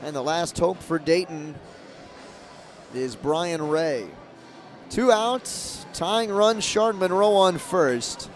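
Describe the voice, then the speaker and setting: A man doing live radio play-by-play of a baseball game as the announcer, in measured calls with emphasis on key moments. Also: fairly high pitch, faint music behind. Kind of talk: live radio sports commentary. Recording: crowd and ambient stadium noise behind. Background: ballpark crowd murmur.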